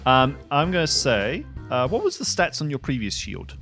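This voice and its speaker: A man working as game master, casually improvising narration for a tabletop game in a halting, thinking-aloud way. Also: medium pitch, huge pitch swings, creaky, fairly bright tone. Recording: music behind the voice.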